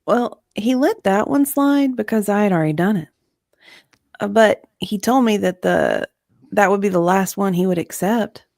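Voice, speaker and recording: Aggrieved female witness played by a woman, hesitant deposition testimony, mid-pitched, dry close sound. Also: normal speed, gravelly, darkish tone, dry recording, lightly acted. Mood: explaining, uneasy. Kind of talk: dramatic reading of a legal deposition transcript.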